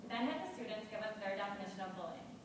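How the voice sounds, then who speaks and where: A young woman from Buffalo, New York, recites a rehearsed student presentation at a quick clip. She is picked up from a distance in a large, booming auditorium with long reverb and steady background noise. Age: young adult. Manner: brisk, recited delivery. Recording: echoey hall, distant mic, noisy.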